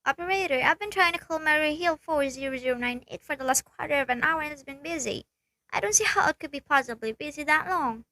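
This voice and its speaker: A woman's voice: high-pitched, animated, fast talker, background noise. A woman playing a nervous, sickly, demanding wife in a radio play, anxious and insistent as she complains. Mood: fretful, impatient.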